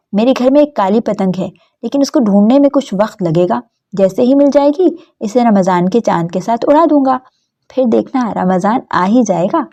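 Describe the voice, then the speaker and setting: A woman's voice: fairly high pitch, dark tone. A woman voicing a determined, inventive young boy, eagerly laying out plans in a children's story. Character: determined, inventive schoolboy friend making plans.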